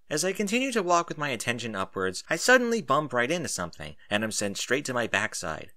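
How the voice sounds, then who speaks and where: Animated, bright-voiced male story narrator. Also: mid-range voice, no room sound, studio quality.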